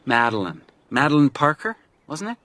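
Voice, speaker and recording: A man's voice: mid-range voice, big pitch swings, normal speed, pushed and bright, no room sound. A man acting a younger, talkative hiker in a radio drama, nervy, probing and insistent.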